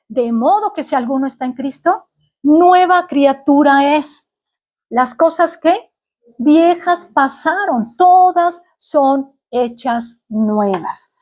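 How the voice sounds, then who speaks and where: A woman's voice: high-pitched, warm tone. A woman from Mexico City with a Mexican Spanish accent, preaching a sermon in an earnest teaching manner and often checking with her listeners. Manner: earnest teaching, asks listeners questions, frequent fillers. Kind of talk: sermon to a congregation.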